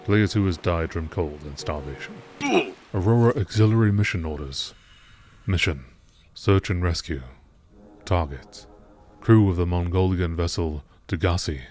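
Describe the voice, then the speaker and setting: A man reading scripted text aloud as a narrator, measured and deliberate, in a nature documentary style. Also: low-pitched, darkish tone, soft background music.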